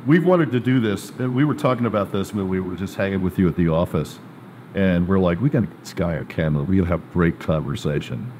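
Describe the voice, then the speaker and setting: A man hosting a casual interview, chatty and joking, asking quick follow-up questions. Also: low voice, darkish tone, a little hiss or hum. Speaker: host.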